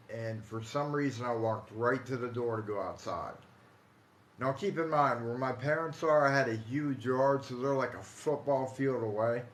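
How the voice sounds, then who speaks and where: A man in his forties with an American accent, telling personal stories casually on a live stream in a halting, repetitive, conversational way. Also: medium pitch. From Ohio, United States. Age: 40s. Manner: halting, repeats himself, conversational. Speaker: host of a paranormal storytelling live stream. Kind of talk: casual live storytelling to viewers. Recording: roomy echo, light background noise.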